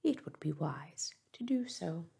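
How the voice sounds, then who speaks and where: A woman voicing a composed, demure middle-aged female servant in a soft whisper. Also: deep voice, gravelly, full character voice. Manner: whispered, calm and measured.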